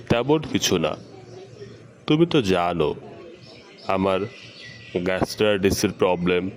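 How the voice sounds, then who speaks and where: A man playing a caring, gently coaxing middle-aged father in an amateur educational cartoon. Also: low voice.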